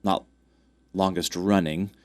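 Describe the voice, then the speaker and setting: Stuffy-nosed guy, deepish voice, dry studio sound, chatty and promo-ish. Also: fairly even intonation, slow pace, darkish tone, dry recording. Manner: upbeat, promotional, many fillers. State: congested. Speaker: track club representative. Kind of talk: casual interview chat.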